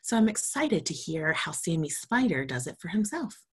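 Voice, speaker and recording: A woman's voice: low voice, very animated, normal speed, breathy edge. A woman storytime reader, reading a children's storybook aloud expressively and talking to young children.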